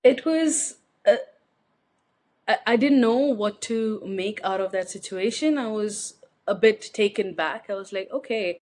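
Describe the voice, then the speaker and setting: A woman's voice: medium pitch, unhurried, a little mellow. A young woman from India, speaking as an interview guest in a reflective, hesitant way with frequent fillers and restarts. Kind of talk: personal interview conversation.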